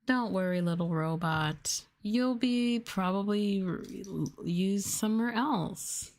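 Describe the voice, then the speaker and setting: A woman chatting softly as an ASMR livestream host, sometimes trailing off toward a whisper. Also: medium pitch, slow. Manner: softly spoken, now and then trailing into whispers. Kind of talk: soft-spoken ASMR livestream chat.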